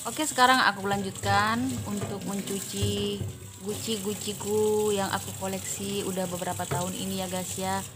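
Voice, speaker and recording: A woman's voice: medium pitch, steady tone, normal speed, over music. A woman from Medan, Indonesia, a homemaker giving a casual, chatty vlog voice-over. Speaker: homemaker vlogger.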